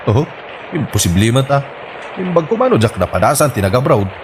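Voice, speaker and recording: A man's voice: mid-range voice, big pitch swings, brisk, background noise, lightly acted. A man playing a plain-spoken, mocking village neighbour in an Ilocano comedy drama, with an Ilocano accent. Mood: teasing.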